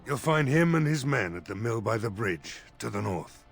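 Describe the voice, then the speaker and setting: An actor playing a gruff, weary, grudging village militia leader in a fantasy video game. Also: male voice, medium pitch, lively intonation, normal speed, faint music behind. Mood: gruff, grim. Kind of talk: video game dialogue acting.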